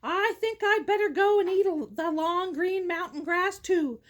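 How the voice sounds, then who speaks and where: A woman voicing a big billy goat in a storybook, deliberately musing aloud to himself. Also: extremely high pitch.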